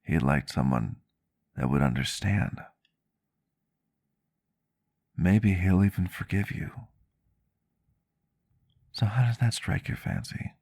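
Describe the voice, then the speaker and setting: Gentle vampire doctor, male, played by a man, intimate ASMR roleplay. Low register with fry, reflective, formal phrasing. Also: sing-song, exaggerated voice. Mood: gentle, reassuring. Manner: measured, reflective, formal wording. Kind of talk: ASMR roleplay, soft intimate storytelling to the listener.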